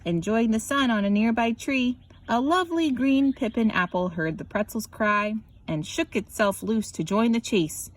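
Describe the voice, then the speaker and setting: A woman reads a picture book aloud as scripted narration, animated and drawing out words for emphasis. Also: a little high, normal speed, hardly any pauses, poor audio. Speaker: children's story reader.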